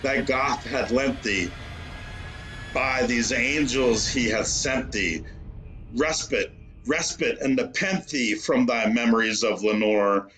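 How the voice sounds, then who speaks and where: A man's voice: medium pitch, takes it slowly, a bit bright, faint music behind, low-quality recording. A man playing a grieving, haunted man in despair, pleading theatrically in a dramatic poetry reading. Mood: anguished.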